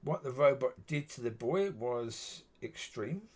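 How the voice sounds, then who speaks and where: Man, husky and raspy, musing monologue, mid-range voice. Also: medium pitch, expressive, slow pace. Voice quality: husky, gravelly. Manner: musing, repeats yeah often. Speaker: solo video talker. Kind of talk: rambling solo monologue.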